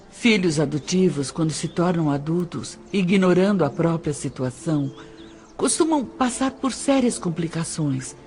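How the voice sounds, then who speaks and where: A woman playing a practical middle-aged adoptive mother in an audio drama, explaining in measured phrases with emphatic pauses. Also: lowish voice, creaky, a little mellow. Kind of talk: dramatized audio novel dialogue.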